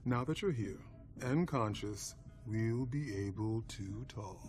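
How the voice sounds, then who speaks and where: An actor playing a suave, formal vampire clan leader in a video game, with courtly, measured politeness and quiet menace. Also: male voice, fairly low pitch, soft background music. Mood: polite menace. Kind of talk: dramatic video game acting.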